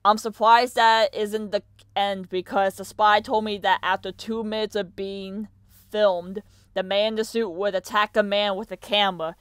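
A man's voice: high-pitched, fairly bright tone, smooth, no room sound. A young man giving casual reaction commentary and reading captions aloud, with muttered asides, on a close mic in a small room. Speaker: reaction video host.

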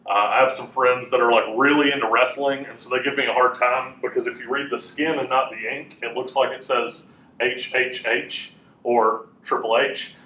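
A man in his thirties teaching a class in a casual, chatty way, with a loud voice that carries and many fillers. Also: medium pitch, fairly even intonation, moderate pace, slight background noise. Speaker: Bible teacher. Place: classroom with a whiteboard, indoors. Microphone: room mic from a fixed camera. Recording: echoey room, voice a bit far off.

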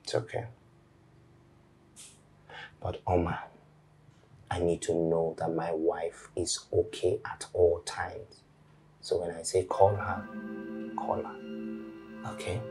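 A stern married boss, played by a man with a very low voice scolds an employee in clipped lines. The scene is a TV drama with faint music behind it. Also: level tone, slow pace, pauses often, mellow, rough recording.

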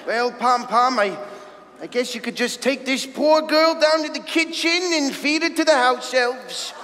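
An actor playing a flippant, scatterbrained wizard headmaster with a halting, stammering delivery in a comedic staged reading. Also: male voice, very high voice, expressive, bright, forceful tone, heavily acted. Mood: flustered, casual. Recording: echoey hall, audible room noise.

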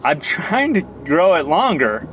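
Joking man vlogging over clear car noise. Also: a little high, sing-song, measured pace, fairly bright tone, poor audio. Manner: joking, self-deprecating. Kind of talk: casual rambling vlog to camera. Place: inside a moving car. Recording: car cabin with road and fan noise. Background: car and air noise.

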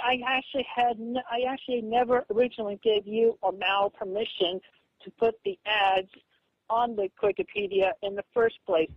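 A woman on a lo-fi phone call, complaining in a halting, repetitive way with stiffly formal wording. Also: on the high side, no echo. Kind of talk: aggrieved phone conversation. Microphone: telephone. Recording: dull, lo-fi phone line.

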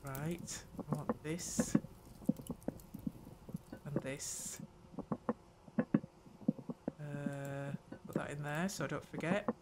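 An older woman hosting a gameplay video, muttering her thoughts aloud in an unhurried way. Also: sits low, steady tone, heavy background noise. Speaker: gaming channel host. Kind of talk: let's play commentary.

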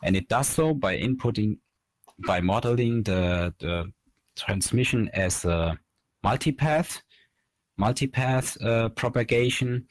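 A man in his thirties presenting a technical slide lecture as a researcher, explaining in a measured, halting way from a small room. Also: medium pitch, no room sound. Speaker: researcher presenting at an online academy.

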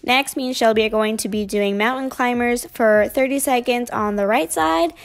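A woman's voice: a little high, moderate pace, vocal fry, faint music behind. A young woman narrating her gym workout in a casual, upbeat voice-over. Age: young adult. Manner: casual, chatty, upbeat explaining. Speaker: fitness vlogger.

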